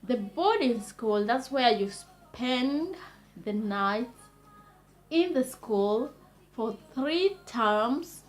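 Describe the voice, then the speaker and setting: Slow, enthusiastic woman from Kenya. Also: fairly high pitch, lively intonation. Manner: enthusiastic, repeats superlatives, unhurried with pauses. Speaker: vlogger. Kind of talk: casual talking-to-camera vlog.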